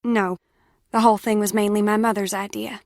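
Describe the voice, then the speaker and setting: A woman's voice: mid-range voice, little pitch movement, fairly quick, darkish tone, no room sound, studio quality, natural voice. An actress playing an earnest, troubled young woman in a video game, speaking with urgent, pleading sincerity. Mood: grave confiding. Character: earnest, troubled young woman confiding dark family secrets. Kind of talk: scripted game voice acting.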